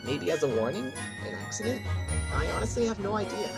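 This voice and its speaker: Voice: medium pitch, big pitch swings, fairly quick, vocal fry, over loud music. A performer playing a cocky, eager young male wolf pup witness in an audio drama, brash and casual. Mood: unsure. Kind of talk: courtroom audio drama acting.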